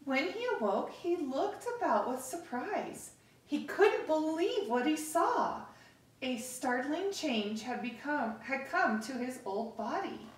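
A middle-aged woman reading a picture book aloud to children, with deliberate, expressive pauses, recorded across a living room. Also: high-pitched. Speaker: story time host reading to children. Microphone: camera across the room. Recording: echoey room, distant microphone.

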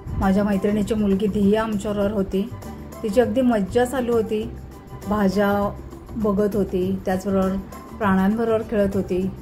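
Woman vlogger, casual tour narration, mid pitch, music bed clearly under her voice. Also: little pitch movement, moderate pace, warm tone. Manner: enthusiastic, points things out to viewers. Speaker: travel vlogger. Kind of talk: casual narration touring a farm.

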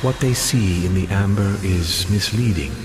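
Elf guardian, deep, very slow, disdainful, stern, measured, video game acting. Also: male voice, noisy background. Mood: cold, stern. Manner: grave, measured, condescending.

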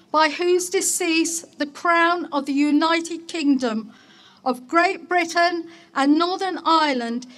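A woman speaking as a mayor at an outdoor ceremony, reading a formal public address in a solemn, deliberate, declamatory manner. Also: extremely high pitch, fairly bright tone. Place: outdoor market place ceremony.

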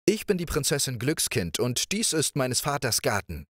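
A man voicing a cheerful, carefree young princess with a playful, lively way of speaking. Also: medium pitch, moderate pace, no echo, studio quality. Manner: bright, playful, lively questioning.

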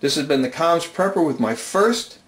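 The room rings noticeably around an American man's slightly high voice. He explains like a hobbyist host at a whiteboard, informal but methodical, laying things out step by step. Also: lively intonation, moderate pace. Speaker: amateur radio channel host, presenter. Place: small indoor room at a whiteboard. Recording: roomy, reverberant indoor sound.

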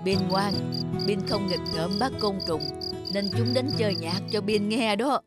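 Woman playing a gentle grandparent over loud music. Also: medium pitch, a little slow, voice pushed down, close to an everyday voice. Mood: affectionate. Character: gentle, affectionate grandparent praising a child. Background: loud music with insect chirping.

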